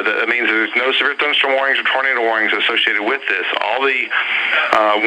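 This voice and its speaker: A man announcing live severe weather bulletins on the radio in a halting, matter-of-fact way, pausing through lists of places. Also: medium pitch. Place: weather service office, heard over radio. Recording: very noisy weather radio broadcast.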